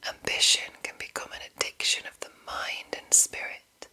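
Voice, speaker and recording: A woman whispering in a breathy, unvoiced ASMR style, reading deliberately with pauses between short phrases. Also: pushed and bright. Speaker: ASMR host. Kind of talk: whispered ASMR reading of fantasy game rules.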